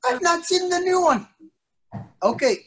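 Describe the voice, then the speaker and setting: A middle-aged man telling a playful story to children as their instructor, theatrical, with drawn-out emphasis and pauses. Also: extremely high pitch, expressive. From an island country. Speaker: martial arts instructor telling stories to children. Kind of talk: playful interactive storytelling for kids. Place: indoor wood-panelled studio, on a video call.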